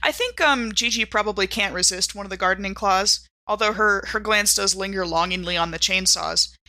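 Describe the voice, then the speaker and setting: Bright-voiced woman, playful gamer. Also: mid-range voice, a little fast, no echo. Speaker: player in a tabletop game. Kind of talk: casual improvised tabletop game talk. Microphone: close studio microphone.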